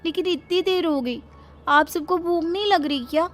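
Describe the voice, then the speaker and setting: A woman's voice: very high voice, moderate pace, fairly bright tone, faint music behind, voice pushed up. A woman playing a defiant, outspoken, modern young city bride in an audio story, questioning everything indignantly.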